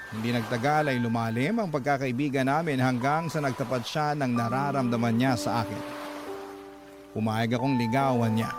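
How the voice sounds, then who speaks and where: A man working as a radio storyteller, reading out a first-person love letter as scripted narration. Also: mid-range voice. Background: music.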